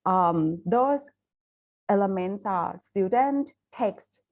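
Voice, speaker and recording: A Thai woman with a Thai accent, speaking English as a foreign language, giving a careful academic webinar presentation with frequent pauses and restarts over a video call. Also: mid-range voice, lively intonation, a little mellow. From Thailand. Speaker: university lecturer presenting research.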